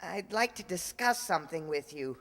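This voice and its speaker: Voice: medium pitch, animated, moderate pace, fairly bright tone, in a large hall. A performer playing an eager, slangy schoolboy nephew in old-time radio comedy style, boyish and casual. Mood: sheepish, eager.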